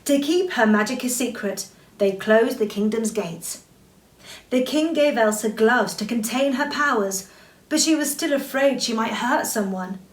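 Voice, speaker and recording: In a roomy, slightly distant recording, a woman reads a bedtime story to children. Her voice sits a little high. She tells it expressively, stretching words for dramatic emphasis. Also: a little slow. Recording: roomy echo, distant from the mic.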